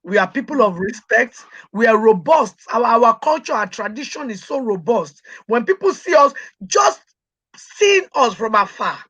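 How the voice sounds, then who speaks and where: A woman's voice: a little high, very animated, moderate pace, fairly bright tone, slightly rough. A middle-aged Nigerian woman with a Nigerian accent, speaking as an interview guest, emphatic and proud, repeating words for stress. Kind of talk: interview conversation.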